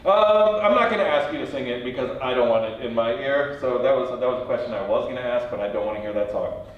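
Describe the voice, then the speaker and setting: A man's voice: mid-range voice, quick pace, muffled recording, slight background noise. A man hosting a light, playful interview, asking questions in an informal, quirky, jokey way. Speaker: interview host. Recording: very echoey room, distant mic.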